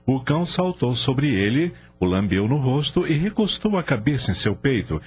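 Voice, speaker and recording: A man narrating a scripted radio drama as an expressive storyteller. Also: fairly low pitch, husky. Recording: dull lo-fi audio, faint music.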